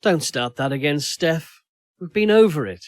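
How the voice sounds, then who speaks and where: A man's voice: fairly high pitch, animated, moderate pace, no echo, clean studio sound, lightly acted. A man voicing a weary, cynical, defensive man in a strained relationship in an audio story.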